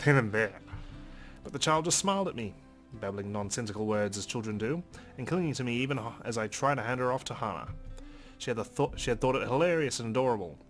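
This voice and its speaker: A man's voice: mid-range voice, fairly bright tone. A man hosting a let's play, reading a visual novel aloud as narration with casual commentary of his own. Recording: faint game music behind. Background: game music.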